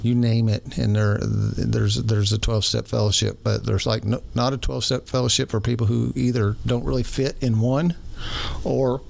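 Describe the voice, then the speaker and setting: A man's voice: mid-range voice, steady tone, normal speed, gravelly, darkish tone, slight background noise. A man from Indiana hosting a recovery podcast, talking in a rambling, casual way with plenty of fillers and restarts. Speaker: podcast host.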